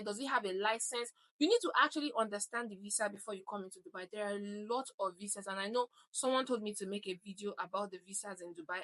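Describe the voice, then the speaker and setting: Bright, emphatic Nigerian woman vlogger. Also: mid-range voice. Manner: emphatic, repeats "okay?" to drive points home. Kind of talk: direct advice vlog.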